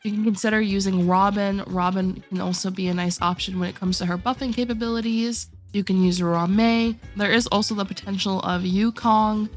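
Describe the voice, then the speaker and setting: A woman with a mid-range voice, a little rough from a sore throat, hosts a gaming commentary video. She explains character placements in a steady, methodical way. Faint music sits quietly underneath her voice. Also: mid-range voice, brisk, few pauses. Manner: steady explanatory walkthrough, addressing viewers directly. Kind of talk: game tier list commentary.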